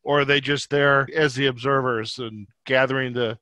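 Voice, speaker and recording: A man's voice: mid-range voice, fairly even intonation, moderate pace, bright, forceful tone, dry recording. A man hosting a podcast interview, asking conversational questions in a roundabout, rephrasing way.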